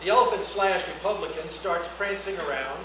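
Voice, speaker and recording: Guy reading screenplay stage directions, highish voice, far from mic, lots of echo and noise. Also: fairly quick. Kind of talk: reading screenplay action lines aloud. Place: indoor reading before an audience, far mic. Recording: very echoey room, distant mic, noisy.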